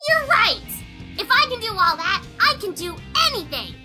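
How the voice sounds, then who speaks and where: Woman acting squeaky, excitable little cartoon boy bee. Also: normal speed, loud music behind the voice. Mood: triumphant. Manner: excitable, childish. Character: hyperactive, cheeky, easily spooked little boy bee. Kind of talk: cartoon comic fan dub.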